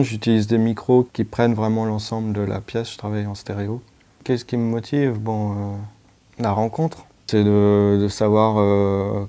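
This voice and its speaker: A man who is a sound artist speaks in a documentary interview, thoughtful and halting. His voice is fairly low, mellow and dark, with little melody. He talks in a low-key, reflective way.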